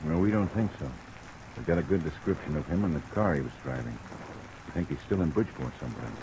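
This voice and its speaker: An actor with an American accent playing a steady, practical police lieutenant, plain-spoken and in charge, in a vintage radio drama. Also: male voice, a bass voice, fast talker. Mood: businesslike. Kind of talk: mid-century American radio drama acting. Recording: old radio recording under heavy rain noise.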